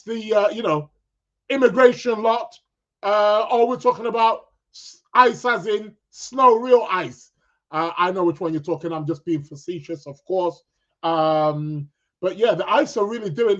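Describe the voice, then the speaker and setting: High-voiced croaky man hosting. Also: moderate pace. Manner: chatty, upbeat, gives viewers shout-outs. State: croaky, stuffed nose. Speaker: podcast host. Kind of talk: casual live-stream chat with listeners. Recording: dull, lo-fi sound.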